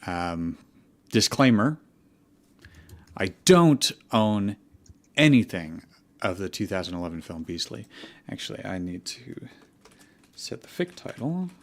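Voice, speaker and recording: A man's voice: on the low side, very animated, measured pace, long pauses. A man hosting a casual livestream, speaking in an informal, somewhat hesitant way as he introduces things and reads aloud. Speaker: host.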